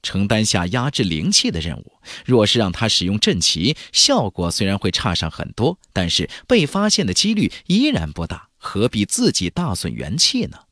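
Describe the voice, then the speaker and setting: A man's voice: fairly low pitch, huge pitch swings, normal speed, hardly any pauses, fairly bright tone, no echo, studio quality. A man narrating a fantasy audiobook in a dramatic, suspenseful, theatrical storytelling style. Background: faint background music.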